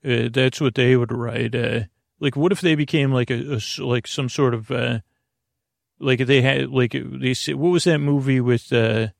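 A man with a creaky voice hosting a sleep podcast, delivering a halting, meandering improvised comic monologue that keeps interrupting itself and drifting off on tangents. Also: mid-range voice, fairly even intonation, dry recording, clean studio sound.

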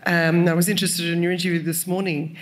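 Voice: medium pitch, flat delivery, quick pace. An audience member with an Australian accent, asking a polite and slightly hesitant question.

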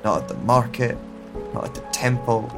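A man narrating a first-person audio drama in a slow, hushed, introspective way, over a music score and the sound of rain. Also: mid-range voice, little pitch movement.

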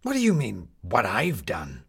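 A man's voice: lowish voice, wildly swooping pitch, unhurried, vocal fry, fairly bright tone, slightly rough, heavily acted. A man voicing an indignant male schemer on the run in a storybook reading, with a blustering, theatrical, emphatic delivery. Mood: baffled indignation.

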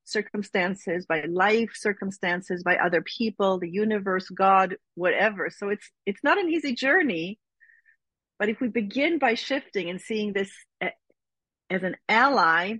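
A woman's voice: medium pitch, lively intonation, a bit bright, husky, no echo, poor audio. A middle-aged woman from Israel, a spiritual teacher speaking as an interview guest in an earnest, reflective way. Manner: earnest, reflective, slow with emphatic phrasing. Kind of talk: interview conversation.

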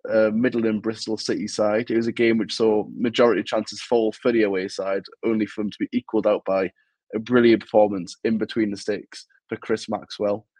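A young man with a Geordie accent, talking through football results in a chatty, analytical way. His voice sits fairly low. The sound is close and dry, with no room around it. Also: a little mellow, dry recording. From Newcastle, England. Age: young adult. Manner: chatty, analytical match review. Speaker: podcast co-host. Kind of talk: casual football podcast chat.

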